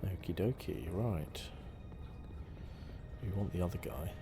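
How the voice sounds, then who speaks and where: A soft-spoken man hosting a relaxed, informal ASMR video game playthrough. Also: deep voice, a little slow. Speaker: gaming channel host. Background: game music.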